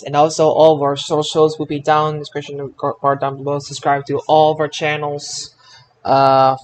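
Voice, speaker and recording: A man with a moderately high voice hosts a podcast. He talks casually about wrestling, as in an informal chat among co-hosts. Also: rough recording. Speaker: podcast host.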